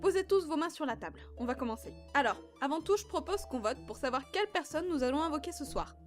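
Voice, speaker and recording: A woman as a high-voiced, bossy séance hostess. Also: lively intonation, faint music behind. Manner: brisk, bossy, flippant. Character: bossy, breezy young woman hosting a séance. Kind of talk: amateur comedy audio skit.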